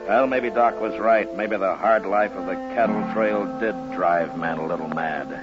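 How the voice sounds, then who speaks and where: Deep-voiced, weary frontier marshal played by an actor. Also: male voice, lightly acted. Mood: grim narration. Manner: terse, dry, deliberate. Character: weary, steady, stern male frontier marshal. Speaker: actor, lead and narrator. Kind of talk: 1950s radio western acting. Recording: dull 1950s radio recording, music.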